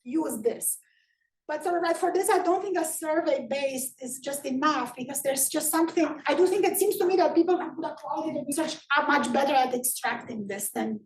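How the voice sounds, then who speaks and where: A woman speaker thinks aloud in an unscripted Q&A, circling her point with constant "sort of" and "right". Her high voice echoes around a lecture room. She sounds set back from the mic. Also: a little fast. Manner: rambling, heavy use of "sort of" and "right" fillers. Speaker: invited speaker answering audience questions. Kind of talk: academic talk Q&A, unscripted. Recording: echoey room, voice from far off, faint noise.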